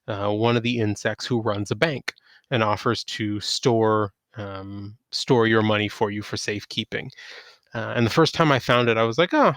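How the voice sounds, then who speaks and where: A man hosting a podcast, chatting casually about games in a halting, conversational storytelling way. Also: on the low side, fairly bright tone, clean tone, no echo, clean studio sound. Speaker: podcast host. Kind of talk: casual gaming chat.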